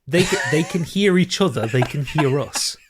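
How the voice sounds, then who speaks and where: Lively man over noisy, clicky audio. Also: a little high, moderate pace. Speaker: podcast co-host. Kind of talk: casual banter.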